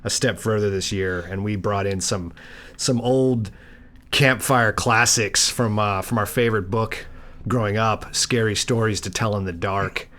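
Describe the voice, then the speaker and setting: A man's voice: lowish voice, steady tone, unhurried, fairly bright tone. A man talking casually and conversationally as the co-host of a podcast.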